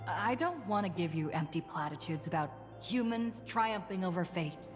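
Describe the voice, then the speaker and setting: A woman voicing a calm, kind young heroine in a video game, sincere and measured. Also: on the low side, lightly acted. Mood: sincere, encouraging. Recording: long reverb, music behind.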